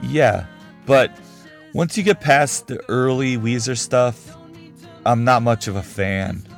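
A man's voice: mid-range voice, slow pace, creaky, soft background music. A man hosting a podcast, giving frank, conversational opinions in a casual solo talk while losing his voice. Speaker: podcast host.

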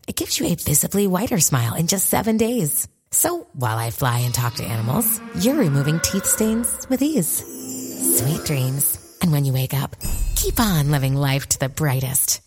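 Woman to play playful inner dream voice in an advert, deep and breathy, animated. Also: moderate pace, soft background music, exaggerated voice. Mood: playful, soothing. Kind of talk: scripted advert.

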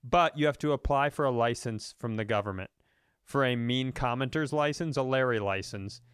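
A man's voice: medium pitch, moderate pace, a bit bright, clear, resonant tone, dry recording, clean studio sound. A man talking as a comedian podcast host in casual banter, rambling and riffing with plenty of swearing. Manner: rambling, riffing, profane storytelling.

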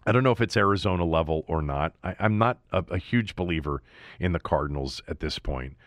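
A man with a low-pitched voice talks as a sports show host. He leans on key words and stretches them for emphasis. The sound is dry, with very little room on it. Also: lively intonation, brisk, dry recording. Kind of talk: sports commentary with ad read.

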